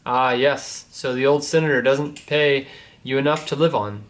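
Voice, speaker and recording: A man's voice: mid-range voice, fairly even intonation, moderate pace, a bit bright, close to an everyday voice. Man reading as a friendly young Roman deacon. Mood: friendly, caring.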